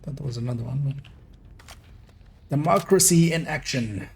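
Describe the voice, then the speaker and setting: A man from Iran with an accent that mixes Iranian, American and Dutch English, giving relaxed, rambling gameplay commentary as a streamer. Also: medium pitch, normal speed, creaky, a little hiss or hum. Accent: Iranian mixed with American and Dutch English. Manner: relaxed, rambling explanations with little laughs.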